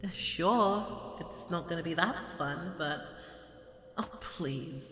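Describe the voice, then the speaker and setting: A woman's voice: fairly low pitch, slow, fairly bright tone. A woman playing a haughty, bored, playful goddess of destruction in an ASMR audio roleplay, with an imperious, mocking, theatrical delivery. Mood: grudgingly amused. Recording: echoey hall reverb, faint background noise.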